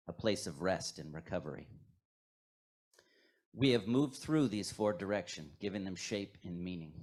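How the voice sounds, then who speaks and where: Low-voiced man leading a guided meditation. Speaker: worship leader at a fellowship. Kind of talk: guided meditation sermon, scripted and poetic.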